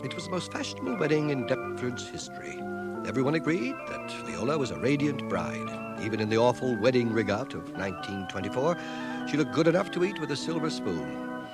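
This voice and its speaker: An actor plays a bookish, self-deprecating man narrating his memoirs for a 1980 radio drama. His delivery is measured and wry, with wide, expressive swings of pitch. Music plays behind him on the cassette transfer. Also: close to an everyday voice. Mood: wry, reflective.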